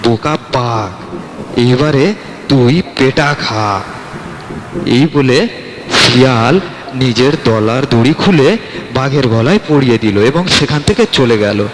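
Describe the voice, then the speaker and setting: Slow-paced male storyteller in long reverb. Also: mid-range voice. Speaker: storyteller, narrator. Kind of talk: scripted fairy-tale narration. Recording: long reverb, faint background noise.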